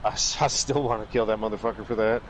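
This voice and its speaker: A man's voice: fairly low pitch, fairly even intonation, fast, poor audio. Sweary male storyteller over road noise. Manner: acts out dialogue while telling stories. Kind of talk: casual chat with crude, sweary storytelling.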